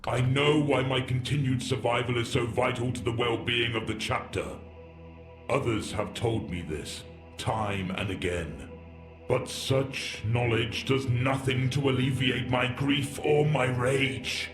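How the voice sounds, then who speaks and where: A man's voice: medium pitch, steady tone, a little slow, natural voice. A man playing an ancient, bitter warrior entombed in a war machine, speaking in grand, archaic, rambling monologue for a sci-fi audio drama. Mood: weary, aggrieved. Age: older. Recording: echoing voice over background music.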